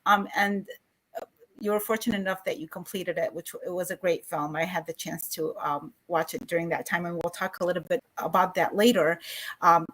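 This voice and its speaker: A woman's voice: on the low side, fairly even intonation, brisk, a bit bright, rough recording. A woman hosting a discussion series, introducing and interviewing her guest. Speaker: host of a weekly discussion series. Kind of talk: online interview, reading an introduction then chatting.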